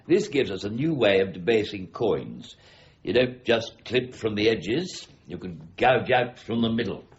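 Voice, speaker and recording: An actor playing a stubborn, exacting middle-aged warden of the Royal Mint, precise and formal in a radio drama. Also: male voice, fairly low pitch, big pitch swings, measured pace. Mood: coolly triumphant. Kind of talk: BBC radio drama acting. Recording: muffled radio broadcast with faint noise.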